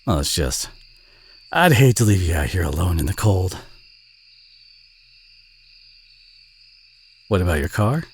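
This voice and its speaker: A man with a Southern US accent playing a kind, protective, bashful country boy in an audio roleplay, speaking in a gentle, folksy, hesitant way with a husky voice. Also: low voice, very animated, a little fast, slight background noise. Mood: concerned, reassuring. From southern United States. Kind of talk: audio roleplay acting, addressed to the listener.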